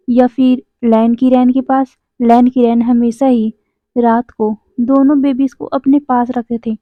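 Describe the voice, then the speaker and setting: A woman with a slightly high voice, hoarse from a sore throat, retells a story in a loose, chatty way and keeps breaking off to talk straight to her viewers. The recording is tinny and phone-like, and very dry, with no room sound at all. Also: no room sound. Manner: chatty, addresses viewers directly, self-correcting asides. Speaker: YouTuber retelling fan fiction.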